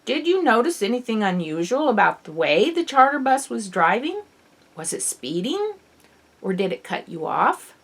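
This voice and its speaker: A woman voicing a tall, calm police officer with an official, questioning manner in an audiobook. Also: a little high, normal speed, voice pushed up. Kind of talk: acted dialogue in an audiobook.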